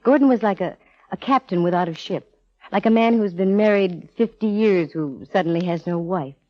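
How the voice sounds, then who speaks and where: An American actress playing a sharp female private eye in 1940s radio drama, explaining things with dramatic, measured pauses. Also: mid-range voice, dry recording, close to an everyday voice. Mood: solemn, explanatory. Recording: dull vintage radio recording with music.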